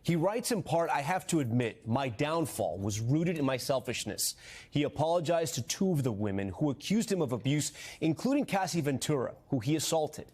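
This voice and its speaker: A man's voice: a little high, very animated, normal speed, darkish tone, slightly rough. A man anchoring an evening newscast in a formal, polished manner. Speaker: news anchor.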